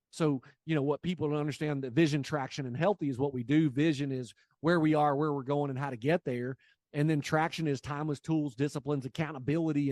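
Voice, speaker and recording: Higher-voiced man in his late forties, energetic. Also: dry recording, studio quality. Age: late 40s. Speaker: guest, business coach and sales trainer. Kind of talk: casual conversational interview.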